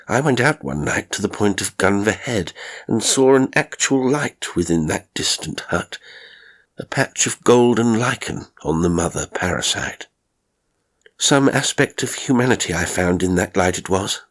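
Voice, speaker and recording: Male audiobook narrator, low husky register, measured and formal literary delivery. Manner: measured, formal, expressive storytelling. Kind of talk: literary horror story narration.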